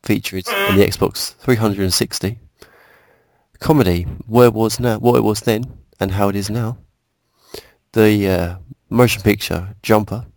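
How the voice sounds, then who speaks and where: A man's voice: fairly low pitch, steady tone, unhurried, creaky, no echo. A man hosting a podcast in a casual, joking chat style. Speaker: podcast host.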